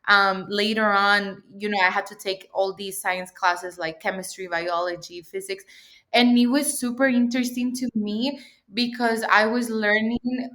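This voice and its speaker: A chatty young woman from El Salvador tells her story as an interview guest. She talks in loose, filler-heavy sentences and laughs easily. Her voice sits at an ordinary middle pitch for a woman. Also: fairly even intonation, measured pace, a bit bright. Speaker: guest, PhD student. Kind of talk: casual interview chat.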